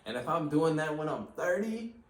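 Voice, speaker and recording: A man's voice: on the high side, sing-song, moderate pace. Slangy young man, echoey far mic. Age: 20s. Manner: slangy, says bro constantly. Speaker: podcast host. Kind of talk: casual chat. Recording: echoey room from far off with faint hiss.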